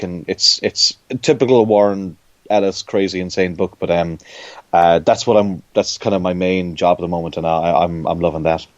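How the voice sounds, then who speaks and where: A man's voice: low voice, dry recording, dull audio. A man with an Irish accent, a comic book creator chatting as a podcast guest and giving rambling explanations. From Ireland. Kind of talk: casual podcast interview.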